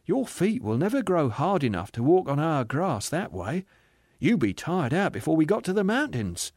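A man voicing a joyful, forgiving young spirit in a dramatised audiobook, gentle and amused as he coaxes. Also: a little high, very animated, normal speed, breathy edge, dry recording, studio quality, voice pushed up, heavily acted. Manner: gentle, amused, lightly coaxing. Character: joyful, forgiving young male heavenly spirit, once a murderer.

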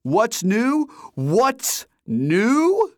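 A man voicing an excitable young man, the narrator's loud, gushing best friend, shouting over the top with excitement. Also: extremely high pitch, extremely slow, no room sound, clean studio sound, voice pushed up, full character voice. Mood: excited. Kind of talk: audiobook character voice.

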